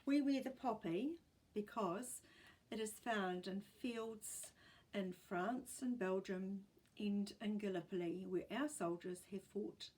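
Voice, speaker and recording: A woman with a New Zealand accent reading a children's story aloud in an expressive storytelling style. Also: medium pitch, slow pace, breathy edge.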